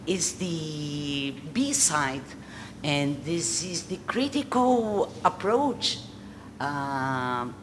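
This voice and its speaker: A woman, a Portuguese speaker, giving an earnest panel talk in English with many pauses. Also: sits low, animated, background noise. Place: art gallery talk before an audience.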